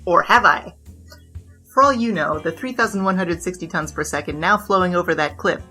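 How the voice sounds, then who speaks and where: Flamboyant bandit queen, fast talker, bright edge, theatrical and grandiose, audio drama. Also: on the low side, soft background music. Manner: theatrical, grandiose, quick-witted. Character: boastful flamboyant female bandit king.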